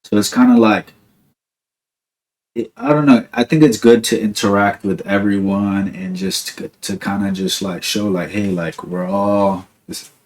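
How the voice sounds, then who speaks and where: A young man from New Jersey chats loosely on a podcast, his voice sitting fairly low. He rambles and circles back, leaning on "like" and "dude" as he goes. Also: steady tone, unhurried, darkish tone. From New Jersey, USA. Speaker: podcast host. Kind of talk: casual chat.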